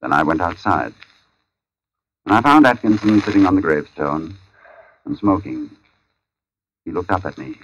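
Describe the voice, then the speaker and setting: An actor playing a grave, measured artist who narrates in the first person in an old radio drama. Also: male voice, low voice, animated, breathy edge. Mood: uneasy, measured. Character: artist recounting an uncanny encounter, grave and measured. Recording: dull old radio recording, music behind.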